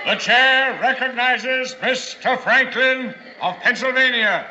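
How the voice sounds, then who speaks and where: Ceremonious colonial chairman, radio play, very high voice, muffled vintage sound. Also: slow, pushed and bright, a little hiss or hum, full character voice. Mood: solemn, official. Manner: declamatory, ceremonious. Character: solemn, formal chairman of colonial congress. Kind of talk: old-time radio drama acting. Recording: dull vintage radio, long hall reverb.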